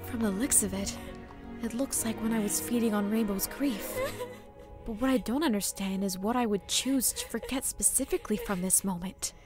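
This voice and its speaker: A woman's voice: medium pitch, normal speed, natural voice. A woman voicing a young female demon pony heroine in a fan audio drama, earnest and anxious. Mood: puzzled. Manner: anxious and earnest, often bursting out. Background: dramatic music.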